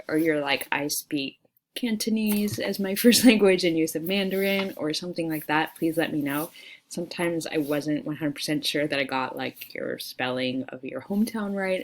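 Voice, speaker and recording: A woman teacher talking through class material in a friendly, conversational way, as in an informal screencast walkthrough. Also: sits low. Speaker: English teacher. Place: screen-recorded voice-over for an online class.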